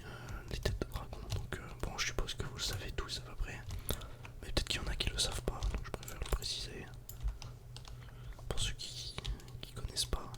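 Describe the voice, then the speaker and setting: A man whispers casual ASMR game commentary in a breathy, unvoiced voice, speaking directly to the viewer. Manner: casual, soft-spoken, addressing the viewer directly. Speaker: ASMR gaming video creator.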